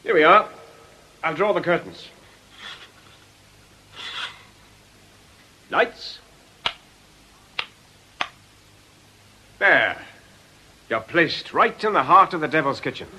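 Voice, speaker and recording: A worldly male club owner with a bright, forceful voice. He speaks on the high side and swings widely in pitch, breezy and sardonic as he dodges in radio drama style. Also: very animated, normal speed, slightly rough, heavily acted. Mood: sardonic, evasive. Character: glib, cagey nightclub owner, a worldly man.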